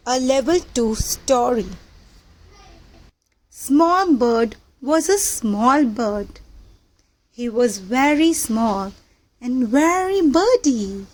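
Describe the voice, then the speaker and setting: A woman reading a picture book aloud to young children, with simple deliberate phrasing and questions to the listeners. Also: fairly high pitch, low-quality recording. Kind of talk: children's read-aloud storytelling.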